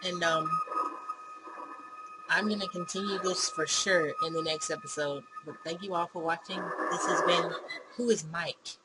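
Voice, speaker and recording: Woman, gaming host, slow delivery, visual novel narration, casual commentary, noisy background. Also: fairly low pitch.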